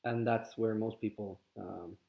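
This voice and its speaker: A man with a Swedish accent, whose first language is Swedish, calmly guiding listeners through a contemplative teaching, often pausing and restarting his sentences. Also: lowish voice, gravelly. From Sweden. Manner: calm, halting, with frequent restarts. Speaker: spiritual teacher. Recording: slightly dull lo-fi audio.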